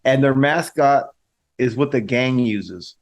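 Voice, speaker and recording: A man's voice: mid-range voice, slow. A young man co-hosting a podcast, joking bluntly in casual chat and swearing freely. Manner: joking, blunt, swears and says "bro" a lot. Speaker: podcast co-host.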